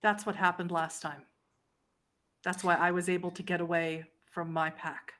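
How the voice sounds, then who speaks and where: A woman's voice: lowish voice, flat delivery, moderate pace, fairly bright tone, lightly acted. A woman voicing a desperate, unkempt vampire runaway who speaks warily and insistently in a tabletop roleplay game. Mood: fearful, insistent.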